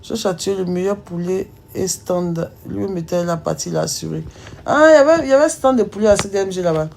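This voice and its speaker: A woman's voice: medium pitch, brisk, vocal fry, darkish tone, slight background noise. A woman in her thirties from Ivory Coast with an Ivorian French accent, chatting casually on a live stream in an emphatic, teasing way and firing rhetorical questions at her viewers. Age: 30s.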